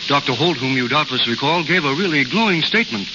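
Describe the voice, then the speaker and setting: On a muffled, very hissy old radio recording, an actor plays a fast-talking male official. He is pompous, polite and effusive, in 1940s drama style. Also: a little high, animated, lightly acted. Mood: effusive, formal. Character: formal, effusive, congratulatory official bearing a medal.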